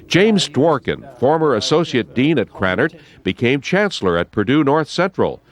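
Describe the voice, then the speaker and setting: An American man reads scripted newsreel narration. His pitch sits in the middle and moves in a lively, animated way as he delivers each item. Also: normal speed.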